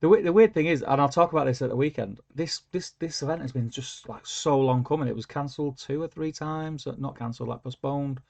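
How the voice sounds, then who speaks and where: Gravelly man on a livestream. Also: mid-range voice, fairly quick, hardly any pauses, a little mellow. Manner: rambling, filler-heavy, hums while scrolling. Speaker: livestream host. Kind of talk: casual livestream chat reading viewer comments. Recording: lo-fi stream audio.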